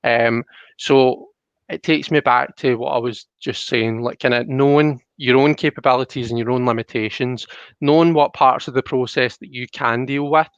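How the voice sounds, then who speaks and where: A man with an average-pitched voice hosts a casual live stream. He talks in a thoughtful, halting way, often circling back on his words. The sound is close and dry but slightly muffled, like an online call. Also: clear, resonant tone, dry recording. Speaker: live stream host and card reader. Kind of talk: casual talk, reading out live chat comments. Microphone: online call microphone.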